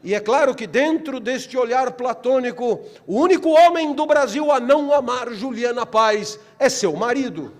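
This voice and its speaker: A middle-aged man with a Brazilian accent gives a motivational philosophy lecture in a fairly high voice. His delivery is theatrical, full of rhetorical questions and repetition. Slow, deliberate phrases climb into loud, animated peaks. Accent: Brazilian Portuguese.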